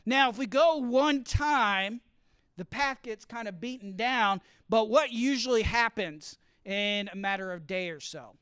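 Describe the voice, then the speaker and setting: A man giving a lively teaching talk to students, often asking the room questions as he explains. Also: high voice, measured pace, clean tone, no room sound. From grew up partly in Costa Rica. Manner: asks the audience questions, explains with extended metaphor. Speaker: college speaker teaching study skills. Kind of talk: interactive classroom talk.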